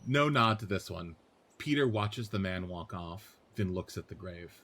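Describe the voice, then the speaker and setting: A man's voice: low-pitched, expressive, normal speed, a bit bright. A man narrating screenplay scene directions as a podcast host and reader. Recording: faint background noise.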